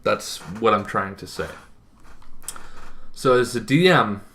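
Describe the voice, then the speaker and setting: A young man talking casually to camera as a gaming video host, emphatic, with dramatic pauses and short punchy phrases. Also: fairly low pitch, lively intonation, bright, forceful tone. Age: young adult. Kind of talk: casual explanatory talk to camera. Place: small bedroom, indoors.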